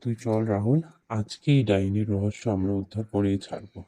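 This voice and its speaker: A man's voice: lowish voice, steady tone, normal speed, warm tone, no echo, close to an everyday voice. A man playing earnest, resolute male characters in a horror cartoon, with emphatic delivery. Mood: determined. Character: earnest, resolute men of a horror cartoon: heroes, villager, policeman. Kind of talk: cartoon dialogue acting.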